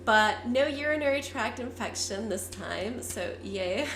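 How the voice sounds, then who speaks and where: A fifty-year-old woman, hoarse and congested from a cold, chatting candidly to her viewers. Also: a little high, lively intonation, a little slow, fairly bright tone, roomy sound, slight background noise. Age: 50s. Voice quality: raspy. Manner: candid, chatty, with frequent fillers. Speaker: vlogger. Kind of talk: casual talk to camera.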